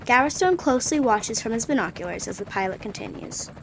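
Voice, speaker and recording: A woman narrating a radio play in a steady, descriptive way. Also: on the high side, a little fast, vocal fry, a little hiss or hum, rough recording. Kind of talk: scripted narration.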